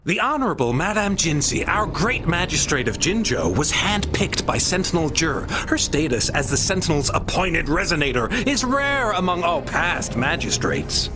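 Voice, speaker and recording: A grandiose storyteller from a video game, recounting war legends in a highish, wildly swooping voice. He declaims with drawn-out emphasis, and music plays behind him. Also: very animated. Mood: proud, reverent. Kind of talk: dramatic storytelling narration.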